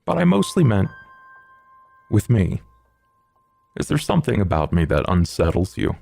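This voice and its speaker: A man in a tabletop game playing a huge old vampire who speaks formally, with measured calm and quiet threat. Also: fairly low pitch, gravelly, heavily acted. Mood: quietly menacing. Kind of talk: tabletop roleplay in character. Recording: music bed under the voice.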